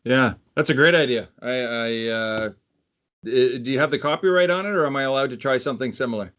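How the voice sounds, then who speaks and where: A man's voice: mid-range voice, brisk, a bit bright. A man with a middle-range voice asks short, friendly questions of a fellow coach. His call audio sounds dull and lo-fi. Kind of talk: casual video call discussion.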